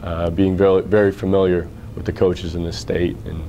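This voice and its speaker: Low, even-toned American man. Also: normal speed, a little hiss or hum. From Illinois, United States. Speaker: assistant basketball coach. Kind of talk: on-camera interview about his career. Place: indoor athletics office, seated before camera.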